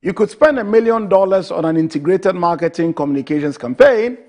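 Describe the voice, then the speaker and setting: A man's voice: high-pitched, lively intonation, brisk, a little mellow. A man with a Ghanaian English accent hosting a TV interview, asking questions in a formal, emphatic manner. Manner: formal, emphatic, addresses guest as madam. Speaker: TV show host.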